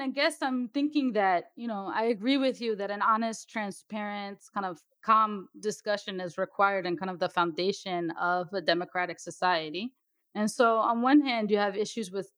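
A woman hosting an interview, asking long, winding questions at a quick pace. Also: medium pitch, a bit bright, no echo. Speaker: interviewer host.

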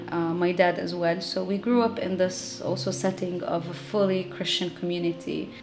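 A woman in her thirties, raised in Jordan, telling her family's story reflectively with many fillers. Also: on the low side. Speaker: interviewee. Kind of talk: personal storytelling. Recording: music playing under the voice.

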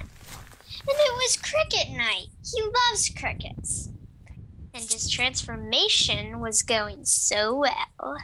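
A woman's voice: extremely high pitch, bright, forceful tone, a little hiss or hum, full character voice, poor audio. A woman playing a proud, obsessive female mad scientist in an audio drama, theatrical and grandiose. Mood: distraught.

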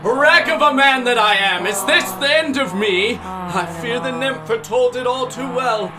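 A man's voice: very high voice, moderate pace. A man acting a desperate, stubborn shipwrecked sea captain in a declamatory, theatrical audio drama monologue. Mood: despairing. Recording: music behind the voice.

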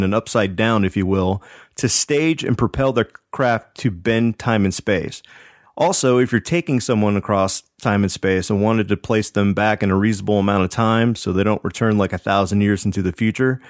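A man's voice: lowish voice, no echo. Casual male podcast storyteller, dry sound. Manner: jokey, slangy, conversational. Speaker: podcast host.

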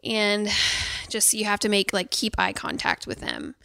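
Woman with a gravelly voice, candid and chatty. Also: mid-range voice, huge pitch swings, unhurried, bright, forceful tone, no room sound. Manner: candid storytelling, lots of fillers. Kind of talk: casual conversation.

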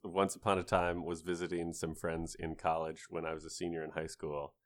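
A man's voice: low voice, flat delivery, fairly quick. A man co-hosting a gaming podcast, telling casual stories with playful mock-epic flourishes and free swearing. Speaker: podcast co-host.

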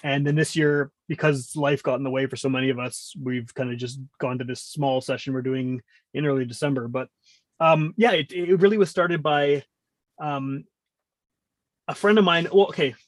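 A man's voice: medium pitch, fairly even intonation, moderate pace, no echo. A middle-aged man chatting casually as a podcast guest, talkative and often interrupting himself as he explains. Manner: talkative, rambling, self-interrupting. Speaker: podcast guest, music podcast host.

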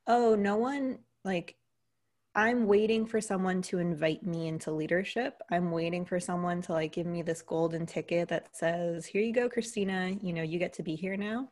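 A woman's voice: on the low side, fairly even intonation, normal speed, darkish tone. A young American woman, a leadership coach, telling her story earnestly in a casual interview, with fillers along the way. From United States.

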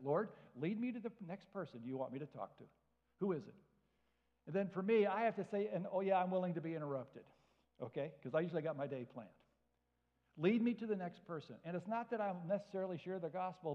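Male guest preacher, lively and conversational, high voice, dull sound in a reverberant hall. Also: fast talker. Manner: animated, acts out both sides of conversations. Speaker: guest speaker. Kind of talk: sermon with acted-out anecdotes. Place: church hall.

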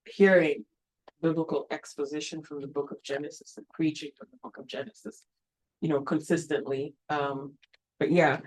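A woman's voice: low-pitched, darkish tone, poor audio. A woman from Kenya, a missionary planting a church, speaking earnestly at a mission event with many ums and you knows.

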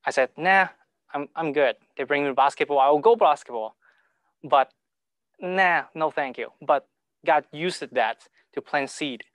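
Man, halting broken English, church talk, dry sound. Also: on the high side, a bit bright, dry recording. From Hong Kong. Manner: halting, repeats words, broken grammar. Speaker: speaker sharing testimony. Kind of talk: informal talk to a church group.

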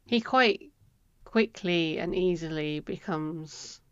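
A woman's voice: lowish voice, slow, a little hiss or hum. A woman co-hosting a book podcast, thinking aloud in casual talk and repeating phrases as she goes. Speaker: podcast co-host. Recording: dry, dull lo-fi sound.

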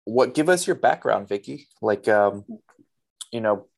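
A man's voice: fairly low pitch, animated, a little slow, vocal fry. A man hosting a casual interview in an informal, chatty way. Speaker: podcast host.

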